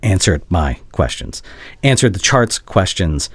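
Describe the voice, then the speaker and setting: Casting: male actor for regretful doctor ex-boyfriend, ASMR roleplay. Very low, expressive voice, halting, anxious, tender delivery. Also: dry recording, heavily acted. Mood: awkward, probing.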